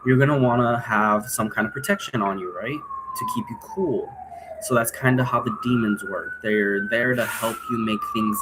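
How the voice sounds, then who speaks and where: A man giving a casual, unscripted explanation as a teacher, rambling along and often checking understanding with a quick "right?". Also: mid-range voice, wildly swooping pitch, heavy background noise. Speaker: teacher explaining occult role-play rules.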